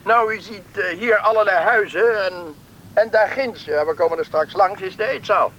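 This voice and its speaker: A helpful official shows newcomers around in an old radio play. His voice is high and very bright, his manner courteous and explanatory, with faint noise behind him. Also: highs cut off. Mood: informative, proud. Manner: explanatory, polite, brisk guide. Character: helpful, talkative male settlement official showing newcomers around. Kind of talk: Dutch radio play acting.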